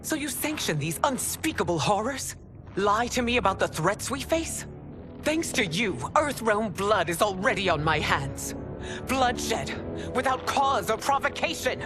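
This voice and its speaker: An actress playing a proud, imperious and indignant mature empress in a dramatic video game cutscene. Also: female voice, medium pitch, very animated, unhurried, music in the background. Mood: outraged. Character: proud, betrayed warrior empress, mature and commanding.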